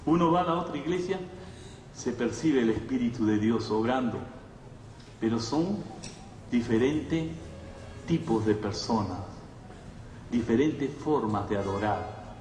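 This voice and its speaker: A man's voice: medium pitch, a little slow, pauses often, a little mellow. A man with a Latin American Spanish accent preaching a conversational sermon to a congregation, emphatic, repeating phrases and asking rhetorical questions. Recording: echoey hall, distant mic, faint noise.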